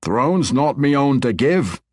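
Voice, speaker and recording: A man voicing a gruff old male dwarf king who speaks bluntly in a folksy dwarven brogue. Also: medium pitch, sing-song, slow, no echo, clean studio sound, pitched above the performer's own voice. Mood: demanding.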